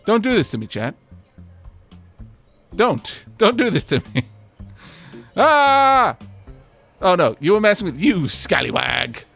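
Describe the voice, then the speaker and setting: A man chatting with his viewers while he plays a game, rambling and poking fun at himself. His voice sits a little high and swoops animatedly up and down. Soft music plays faintly behind him. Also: slow, gravelly, pauses often. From Wales. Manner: animated, rambling, self-deprecating banter with chat. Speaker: streamer. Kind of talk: casual game stream chat.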